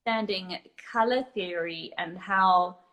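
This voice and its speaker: A woman's voice: mid-range voice, a little slow, fairly bright tone. South African woman explaining, many ums. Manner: explanatory, with frequent ums and restarts. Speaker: guest photographer. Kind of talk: casual live chat.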